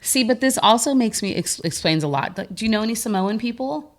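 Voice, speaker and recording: A woman's voice: on the high side, animated, fairly quick. A woman with an American accent hosts a casual live podcast, blunt and opinionated, often putting questions and swearing casually. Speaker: podcast host.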